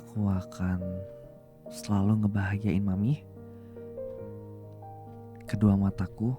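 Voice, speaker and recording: A man's voice: on the low side, little pitch movement, slow. A young man playing a flustered, devoted younger boyfriend in a romantic audio roleplay, hesitant and tender, over music. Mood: tender, earnest. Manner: hesitant, stammering, soft and tender. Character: flustered, devoted young man in love with his older female boss. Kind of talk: romantic ASMR roleplay acting. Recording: music behind the voice.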